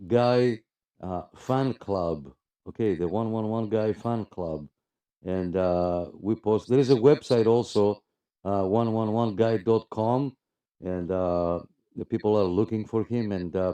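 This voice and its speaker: A man hosting a casual radio club chat, talking in a halting, repetitive way. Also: fairly low pitch, dark tone.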